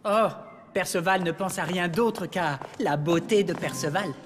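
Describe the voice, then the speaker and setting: A woman playing a gentle, modest, childlike young prince in a cartoon, mild and polite. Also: fairly low pitch, unhurried, in a large hall, soft background music, natural voice. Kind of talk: French cartoon fairy-tale dub.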